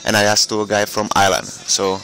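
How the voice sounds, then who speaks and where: A man's voice: fairly low pitch, completely flat delivery, fast talker, creaky, bright, forceful tone. A man from Barcelona, interviewing tourists in hesitant English. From Barcelona, Spain. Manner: hesitant, restarts often in non-native English. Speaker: street interviewer. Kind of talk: casual street interview. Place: outdoors on a city street. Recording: noisy street recording.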